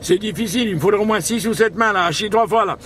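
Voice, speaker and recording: A man with a fairly high voice chats casually as a radio operator. His delivery is informal and hesitant, sprinkled with slang. He is recorded outdoors with a light layer of background noise. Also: fast talker, a bit bright. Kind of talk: casual radio contact chat. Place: outdoors, mobile radio spot by a vehicle. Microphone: handheld camera picking up the voice. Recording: faint outdoor and vehicle noise.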